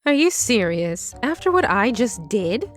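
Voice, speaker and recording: A woman's voice: fairly high pitch, very animated, a little slow. An actress playing a shrewd, determined female lab assistant in a fantasy audio drama, assertive, persuasive and businesslike. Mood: pleading, persuasive. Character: shrewd, determined woman lab assistant, street-smart schemer.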